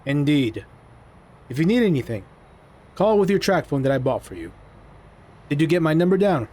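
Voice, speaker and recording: A man's voice: fairly high pitch, sing-song, moderate pace, mellow. A man playing a suave, commanding magician in an audio drama. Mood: calm, encouraging. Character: suave, composed, commanding male magician named Rao.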